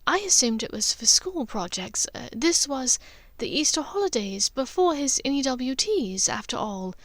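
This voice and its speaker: A woman voicing an aristocratic mother in an audiobook, gentle and wistful, with a measured, hesitant, softly emotional delivery. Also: high voice, very animated, dry recording, studio quality, voice pushed up. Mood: somber, confiding. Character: aristocratic mother, gentle, wistful, confiding old family memories. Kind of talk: dramatized audiobook character dialogue.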